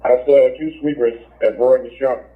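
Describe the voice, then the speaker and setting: A man gives status reports over police radio. His voice is mid-pitched. The channel sounds narrow and tinny, like a scanner. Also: fairly even intonation, fairly quick.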